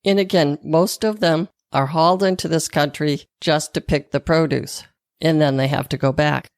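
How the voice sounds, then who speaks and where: A woman's voice: low voice, fairly even intonation, normal speed, darkish tone, dry recording, studio quality. A woman with a Boston accent hosting a podcast solo, reading scripted narration. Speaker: podcast host and health coach.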